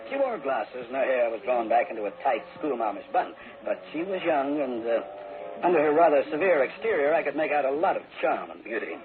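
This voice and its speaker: A man's voice: medium pitch, very animated, a little fast, natural voice. An actor playing a wry, flirtatious private detective who tells his own story in a 1960s radio drama, with hesitant asides. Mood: wry, admiring. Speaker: actor narrating and playing the lead. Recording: tinny old radio recording, music behind.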